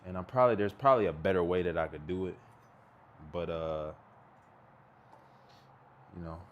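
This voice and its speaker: A man's voice: low-pitched, normal speed, narrow, tinny audio, a little hiss or hum. A man in his thirties with a Texan accent, chatting casually and rambling in slang while he cooks at home. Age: 30s. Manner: laid-back, rambling, slangy. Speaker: cooking vlogger. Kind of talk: casual chat while cooking. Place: home kitchen.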